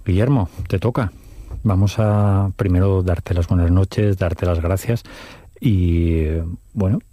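A man hosting a relaxed interview chat, friendly, laughing often and giving short encouraging replies. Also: sits low, slow pace, no echo. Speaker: host.

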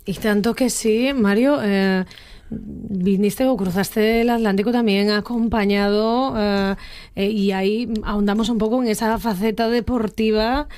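A woman's voice: mid-range voice, fairly even intonation, a little slow, a little mellow. A woman hosting a radio chat in a studio, upbeat and enthusiastic, full of exclamations. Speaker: radio host.

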